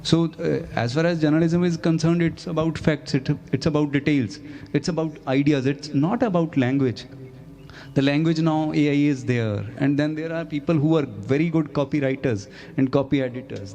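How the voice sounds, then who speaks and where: A man from Punjab, a journalist speaking earnestly on a festival panel, pausing with fillers. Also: a little high, dark tone. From Punjab, India. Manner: earnest, emphatic, hesitant with fillers. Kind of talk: panel discussion. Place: festival stage.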